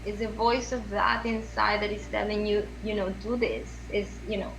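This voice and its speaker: A woman's voice: mid-range voice, little pitch movement, moderate pace, gravelly, a bit bright, a little hiss or hum, poor audio. A woman from Venezuela with a Venezuelan Spanish accent, speaking as a podcast guest in an earnest, word-searching way. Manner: earnest, repeats and restarts phrases while searching for words. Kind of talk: conversational interview.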